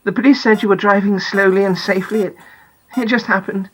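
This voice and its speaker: A woman playing a gentle, reassuring mother in an audiobook. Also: mid-range voice, fairly even intonation, brisk, slight background noise, natural voice, rough recording. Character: reassuring mother of an injured child. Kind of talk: audiobook character acting.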